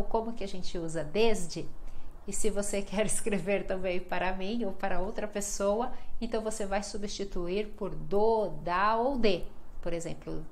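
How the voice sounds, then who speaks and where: A woman's voice: mid-range voice, slight background noise. A Brazilian woman teaching Portuguese online, repeating each example sentence patiently. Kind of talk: online language lesson.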